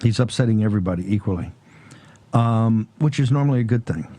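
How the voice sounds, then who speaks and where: A man hosting a political talk show, asking long run-on questions in a quick, emphatic way. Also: lowish voice, lively intonation, mellow.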